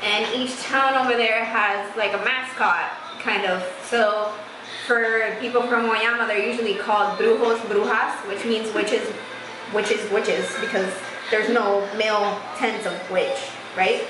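A woman's voice: medium pitch, unhurried. A woman born in Puerto Rico and raised in the US, telling stories animatedly and laughing along while doing her makeup. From Puerto Rico, raised in Massachusetts and Florida. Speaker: guest beauty vlogger. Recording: echoey room with steady noise.

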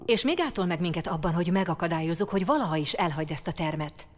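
Strict formal council lady, admonishing, low-ish, lots of reverb, radio drama. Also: animated. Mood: solemn. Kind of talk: audio drama acting. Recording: long reverb of a large hall.

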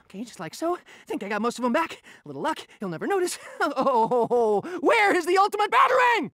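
A man's voice: very high voice, very animated, a little fast, sharp tone, exaggerated voice. A man playing an anxious, boastful teenage boy superhero sidekick in a cartoon, defensive and melodramatic. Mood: fretful. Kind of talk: cartoon voice acting. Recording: music behind the voice.

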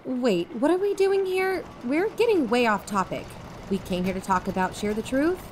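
A woman's voice: high voice, lively intonation, moderate pace, slight background noise. A woman playing an earnest podcast investigator in an audio drama, questioning and keeping to the point. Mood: impatient.

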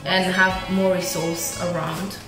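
A young woman, a native Ebembe speaker, gives a casual online language lesson, explaining quickly and repeating vocabulary for the viewer. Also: lowish voice, flat delivery. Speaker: language teacher. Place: small indoor room. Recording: very echoey room with clear background music. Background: music.

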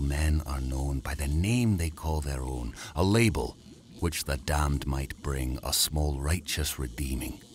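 An actor playing a proud, tormented mad scientist, father of a monster, in a theatrical, deliberate horror audio drama monologue. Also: a bass voice, a little hiss or hum, heavily acted. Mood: grave, proud. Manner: grandiose, theatrical, deliberate delivery.

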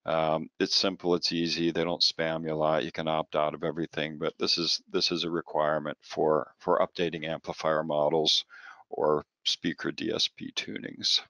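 Very deep-voiced man giving software training. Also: completely flat delivery, no echo, clean studio sound. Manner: informal, halting delivery with repeated words. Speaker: product trainer. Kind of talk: software training presentation over slides.

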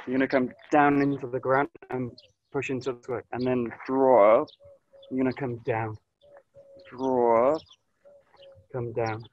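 A man in his thirties teaching an online aikido class, giving calm, short coaching cues outdoors in a grassy orchard, recorded on a camera mic as he moves nearer and farther. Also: medium pitch, unhurried, long pauses, no room sound, dull audio. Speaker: aikido instructor. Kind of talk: live online class instruction. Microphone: camera mic, distance varies as he moves.

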